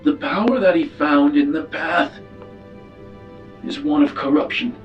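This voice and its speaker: A man playing a grave, secretive alien elder statesman in a tabletop roleplay, speaking formally and with measured solemnity. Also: on the high side, sing-song, distant mic, muffled recording, soft background music. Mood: grave, foreboding.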